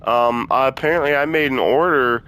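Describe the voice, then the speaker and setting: A man's voice: mid-range voice, moderate pace, sharp tone, smooth, low-quality recording. A man hosting a game playthrough with casual, sweary commentary, muttering his reactions to himself. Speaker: gaming video host.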